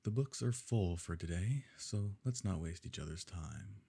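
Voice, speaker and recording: Guy doing a rich evil noble for asmr roleplay, really deep n husky, smug, suave, talks down to you. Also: animated, moderate pace, mellow, full character voice. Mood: smug, businesslike. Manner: suave, condescending, leisurely formal phrasing. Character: smug, wealthy evil nobleman, condescending and calculating. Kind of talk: intimate ASMR roleplay drama.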